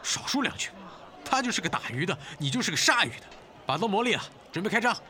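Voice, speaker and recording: A folksy, placating small trader, acted by a man, with a high, bright voice. He talks modestly and with lively pitch in period-drama style. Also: fast talker, slight background noise, full character voice. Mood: placating, resigned. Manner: self-deprecating, folksy, placating. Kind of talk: Chinese period audio drama acting.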